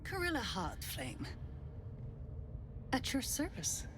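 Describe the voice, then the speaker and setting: A woman's voice: high voice, wildly swooping pitch, takes it slowly, noisy background, full character voice. Casting: polite, theatrical female servant of a devil, swooping delivery, confident, video game role. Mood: suave, playful. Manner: courtly, self-assured, theatrical.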